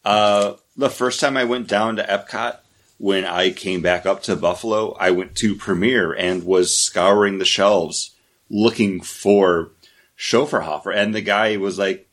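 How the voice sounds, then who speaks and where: Low-voiced man recalling a story. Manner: chatty, relaxed recollection. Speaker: podcast guest. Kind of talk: casual storytelling.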